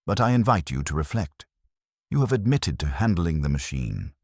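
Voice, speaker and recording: A man voicing a mild, shrewd police inspector in a mystery story, soft-spoken and measured with an edge of finality. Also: low voice, big pitch swings, no echo, studio quality, full character voice. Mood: quietly probing.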